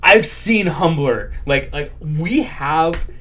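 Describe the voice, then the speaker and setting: A man hosting a podcast in casual chat, relaxed and rambling with lots of "like". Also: fairly high pitch, takes it slowly, soft background music. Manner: relaxed, rambling with many fillers. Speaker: podcast host.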